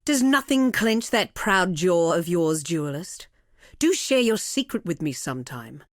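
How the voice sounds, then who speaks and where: A woman's voice: lowish voice, sing-song, measured pace, no room sound. A cruel game villainess, haughty and silky. Her speech is theatrical and swoops up and down with mocking condescension. The sound is dry and close. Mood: haughty mockery. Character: haughty, cruel female villain, a thaumaturgist obsessed with experiments. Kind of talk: scripted video game villain lines.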